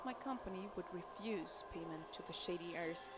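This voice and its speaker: An actress playing a cool, ambitious young insurance fraud chief, narrating a game intro in a cynical, matter-of-fact way over music. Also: female voice, fairly low pitch, moderate pace, lightly acted. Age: young adult.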